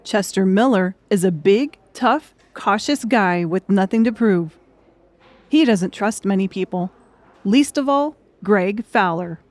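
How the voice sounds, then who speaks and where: A woman's voice: mid-range voice, lively intonation, measured pace, a little mellow, no room sound. Woman narrating a fiction audiobook.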